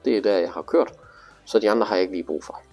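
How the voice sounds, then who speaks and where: A man's voice: on the low side, a little mellow, soft background music. A man giving a casual, hands-on tutorial, explaining things informally step by step. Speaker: instructor demonstrating motorcycle display.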